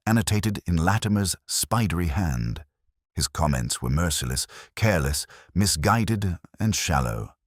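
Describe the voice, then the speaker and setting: A man narrating a detective mystery in the first person, measured, literary and brooding. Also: very deep voice, slightly rough, no echo, clean studio sound.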